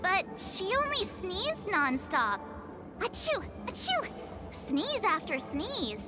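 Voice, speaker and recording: Bubbly high-pitched game girl. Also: wildly swooping pitch, slow pace, exaggerated voice. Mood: chatty. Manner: chirpy, giggly, sheepish. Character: bubbly young girl adventurer, cheerful. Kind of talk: video game voice acting. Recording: game dialogue over loud music.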